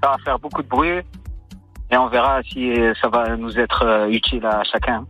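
A man calling in to a radio show, speaking calmly and earnestly. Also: medium pitch, moderate pace, soft background music. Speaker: caller.

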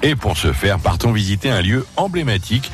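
Male field reporter, deep voice, short friendly questions. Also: big pitch swings, fairly quick, faint music behind. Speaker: radio reporter.

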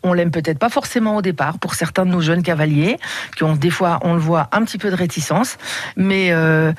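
A middle-aged woman from the Paris region answering radio interview questions in a measured way, with frequent hesitations. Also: on the low side, no room sound. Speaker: head of a regional riding committee, radio guest.

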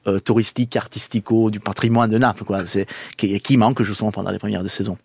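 A man's voice: lowish voice, fairly even intonation, quick pace, creaky, darkish tone, breathy edge. A man from southern Italy, a guest researcher on a radio panel who talks thoughtfully and restarts his phrases often. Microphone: studio microphone.

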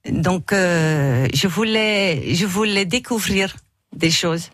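A woman in her sixties from Flemish Belgium, speaking French with a Flemish accent as a second language, chatting as a radio guest and searching for words with many fillers and pauses. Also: lowish voice, a bit bright, no echo, clean studio sound. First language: Dutch. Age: 60s. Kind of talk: radio interview chat.

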